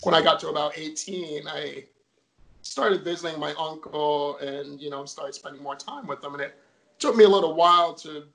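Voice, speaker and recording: A young man from Jamaica talks as an interview guest, his voice slightly high and full of gravelly fry. He tells a long personal story in a loose, chatty way with plenty of fillers. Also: moderate pace, fairly bright tone, rough recording. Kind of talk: casual interview chat.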